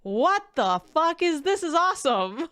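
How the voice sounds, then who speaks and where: A woman with a slightly high voice, recorded close and dry, reacts as a streamer. She talks casually and expressively, gushing and swearing freely. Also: very animated, measured pace, fairly bright tone, dry recording.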